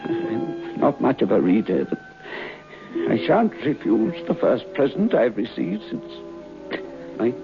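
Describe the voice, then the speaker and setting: A man playing a weary, guilt-ridden old man in an old-time radio drama, halting and mournful. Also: medium pitch, big pitch swings, muffled recording, over music. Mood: weary, sorrowful. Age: older. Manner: halting, shuffling, mournful delivery.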